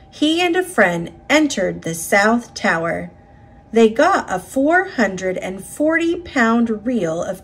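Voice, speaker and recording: Husky, expressive woman reading a storybook. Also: a little high. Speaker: storybook reader. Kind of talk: expressive read-aloud narration of a children's picture book.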